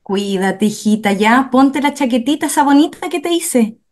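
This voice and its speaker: A woman's voice: high-pitched, animated, normal speed, a little mellow. A woman playing an affectionate, fussing Chilean mother in a radio drama. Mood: affectionate, concerned. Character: caring mother, seamstress fussing over her daughter. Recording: noisy, with machine clatter behind.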